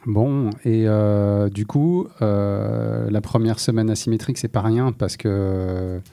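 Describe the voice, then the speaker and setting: A man hosts a casual interview, asking questions loosely with plenty of fillers. His voice sits a little low and mellow. The sound is dry, with no room echo. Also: slow, gravelly. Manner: relaxed, informal, with fillers. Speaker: interviewer host.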